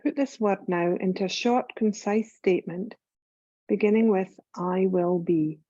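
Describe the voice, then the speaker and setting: A woman leads a guided relaxation in a soft-edged, mellow voice. She is calm and soothing, speaking very slowly and leaving long pauses between phrases. The recording sounds slightly dull and muffled. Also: mid-range voice, no room sound. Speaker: yoga nidra guide. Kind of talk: guided meditation, scripted.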